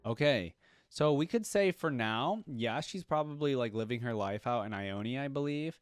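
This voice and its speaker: A man's voice: mid-range voice, animated, normal speed, smooth, no echo, studio quality. A man hosting a reaction video, giving casual commentary and musing aloud as he goes. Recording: game audio and music behind.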